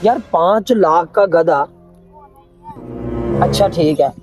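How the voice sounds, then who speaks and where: A bossy, suspicious cartoon villager, played by a man. He talks loudly and emphatically in a raised pitch, with music clearly behind him. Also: slow pace. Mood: suspicious, challenging. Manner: loud, emphatic exclaiming. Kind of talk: cartoon story dialogue.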